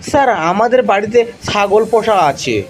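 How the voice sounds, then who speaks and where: A man's voice: high voice, animated, a little fast, soft background music. A man voicing a boastful, simple-minded boy pupil in an amateur animal cartoon comedy. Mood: earnest reciting.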